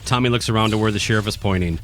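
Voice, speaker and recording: A man with a fairly low voice narrates a horror radio play. He reads the scripted action as storyteller. Faint music plays behind him. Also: very fast.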